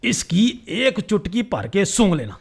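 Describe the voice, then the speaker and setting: An actor playing a blustery know-it-all friend who scolds and repeats himself insistently, in a Hindi radio play. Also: male voice, sits high, sing-song, a little slow, vocal fry, slightly rough, full character voice. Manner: hectoring, repeats words insistently.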